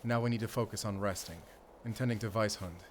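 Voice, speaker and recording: An actor playing a mysterious, confident monster hunter with a German accent in a gothic horror audio roleplay, speaking in a measured way to a companion. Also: male voice, on the low side, a little hiss or hum, lightly acted. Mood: grim, explaining.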